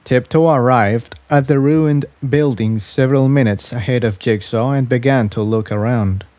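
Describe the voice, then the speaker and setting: A man's voice: mid-range voice, normal speed, dark tone, dry recording. A man reading scripted fiction aloud as a narrator.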